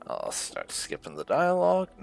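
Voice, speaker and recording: A man's voice: fairly high pitch, wildly swooping pitch, normal speed, vocal fry. A man hosting a gaming video, giving casual commentary and muttering to himself in short fragments over game music. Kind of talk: casual let's play commentary.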